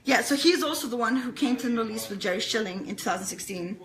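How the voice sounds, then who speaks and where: A woman's voice: fairly high pitch, lively intonation, fast, rough recording. A woman speaking as an interview guest, telling a personal story in an earnest, emphatic way with repeated phrases. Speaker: interview guest, fan club founder.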